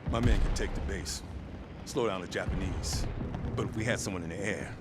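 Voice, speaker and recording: An actor playing a gruff, stern World War II army sergeant giving orders in a video game war drama. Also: male voice, sits low, fairly quick, over loud music, close to an everyday voice. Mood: low, stern. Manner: stern, authoritative, barking orders. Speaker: squad leader. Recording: loud battle noise behind. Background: loud game battle ambience.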